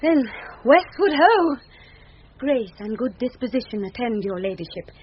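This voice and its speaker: A British actress playing a young woman disguised as a page boy, husky, earnest, courtly and quick-witted, in a radio Shakespeare play. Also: a little high, big pitch swings, a little hiss or hum. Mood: earnest, guarded. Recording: dull vintage radio recording.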